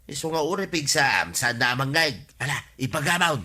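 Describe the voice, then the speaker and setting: A man's voice: medium pitch, lively intonation, normal speed, bright, forceful tone. A man playing an exasperated, scolding village husband in a comedy radio drama, nagging with an Ilocano accent.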